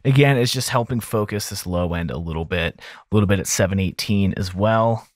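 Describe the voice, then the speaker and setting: A man's voice: on the low side, a little fast, dry recording, clean studio sound. A man explaining audio mixing in a casual tutorial style, informal and thinking aloud as he goes.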